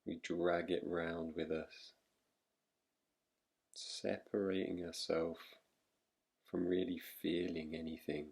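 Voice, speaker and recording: Very deep, slow-speaking male meditation guide. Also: flat delivery, creaky, a little mellow, slightly rough. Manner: soothing, unhurried, with long pauses between short phrases. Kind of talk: guided relaxation meditation, yoga nidra.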